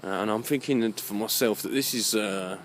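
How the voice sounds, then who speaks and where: Man musing over steady background noise. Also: on the low side. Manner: halting, musing, repeats phrases. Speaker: vlogger. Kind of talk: rambling unscripted spoken monologue.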